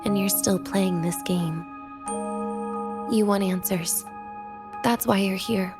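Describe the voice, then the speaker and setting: An actress voicing a weary, teasing, guarded young woman in a video game, speaking with wry, breathy pauses. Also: mid-range voice, over music. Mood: coolly challenging.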